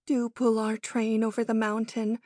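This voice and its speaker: A woman's voice: fairly high pitch, monotone, normal speed, mellow, no room sound, studio quality, lightly acted. A woman playing small dolls and toys in a children's storybook reading, pleading earnestly and imploringly.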